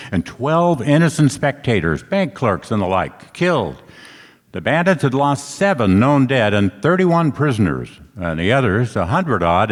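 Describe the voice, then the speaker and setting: A man's voice: medium pitch, lightly acted. A man playing a tough hardboiled private detective, narrating his case in 1940s radio play style. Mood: grim, matter-of-fact. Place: on stage in a hall. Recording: noisy echoey hall, live stage performance.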